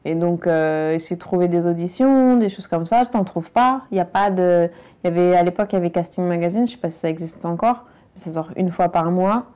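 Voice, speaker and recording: A woman's voice: on the low side, fairly quick, dark tone. A woman from France, talking frankly and emphatically in a casual interview. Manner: frank and emphatic, speaking directly to the listener. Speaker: documentary interviewee.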